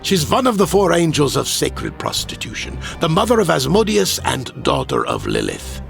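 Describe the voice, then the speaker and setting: An actor playing a pompous, defensive bishop in an audio drama, indignant and theatrical. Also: male voice, fairly high pitch, wildly swooping pitch, normal speed, gravelly, fairly bright tone, faint music behind, full character voice. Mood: grave, alarmed. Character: imperious, defensive male bishop, outraged authority. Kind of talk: dramatic audio fiction acting.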